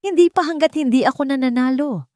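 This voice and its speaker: Audio story villain: desperate, vengeful man, loud and accusatory, read by a woman narrator. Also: high voice, fairly quick, no room sound, studio quality, voice pushed up, natural voice. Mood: defiant. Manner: shouting, accusing.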